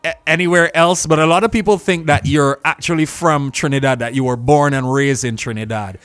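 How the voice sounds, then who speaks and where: A man's voice: on the high side, fairly even intonation, fairly quick, fairly bright tone, no echo. A man hosting a casual radio interview in an upbeat, informal, affirming way.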